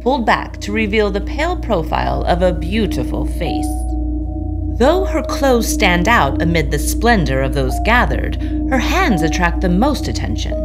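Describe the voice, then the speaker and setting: Expressive low-voiced woman narrator. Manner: theatrical, suspenseful. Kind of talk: dramatic scripted narration of a Victorian tale.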